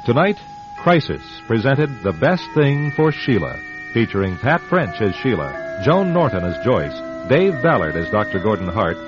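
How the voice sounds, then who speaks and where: Old radio announcer guy, dramatic n measured, swooping intonation, music behind, muffled recording. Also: medium pitch, sing-song. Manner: solemn, rhetorical, measured. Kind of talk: dramatic scripted radio narration.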